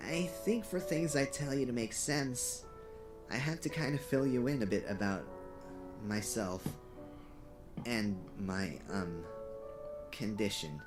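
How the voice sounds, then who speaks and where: A man's voice: mid-range voice, slow pace, pauses often, music in the background, close to an everyday voice. Man playing an awkward, apologetic young student. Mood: awkward confession. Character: awkward, mild-mannered cursed teenage boy student. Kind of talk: animated comic dub voice acting.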